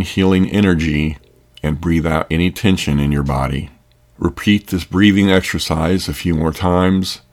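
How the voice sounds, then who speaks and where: A man narrating a scripted sleep meditation in a calm, soothing, gentle manner. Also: deep voice.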